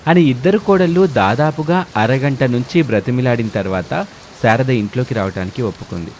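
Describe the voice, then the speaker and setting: A man's voice: medium pitch, fairly quick, a little mellow, a little hiss or hum. A man narrating a scripted family moral story.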